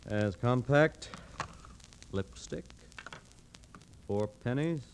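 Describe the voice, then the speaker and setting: An actor playing a businesslike homicide lieutenant, casual and matter-of-fact, in a 1940s radio drama. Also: male voice, mid-range voice, drawn-out words. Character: businesslike, cooperative police homicide lieutenant, a man. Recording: noisy vintage 1940s radio recording.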